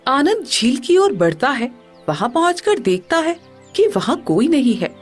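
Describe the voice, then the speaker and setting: Lively female story narrator. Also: high voice, measured pace, soft background music. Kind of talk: scripted moral story narration.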